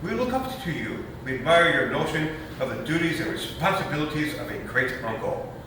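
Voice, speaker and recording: A man playing a flustered, fawning young nephew in a comic stage reading, stammering and blurting as he flatters his uncle. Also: a little high, a little fast. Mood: fawning, nervous. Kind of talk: staged readers theater comedy. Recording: echoey hall, far-off mic, faint noise.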